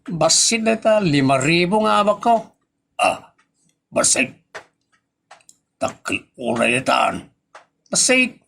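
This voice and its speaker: Swooping, gravel-edged boastful comedy husband, acted by a man. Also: high voice, big pitch swings, takes it slowly, pauses often, a bit bright, heavily acted. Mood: bragging. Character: boastful gambling husband, excitable and defensive. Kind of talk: comedy audio drama acting.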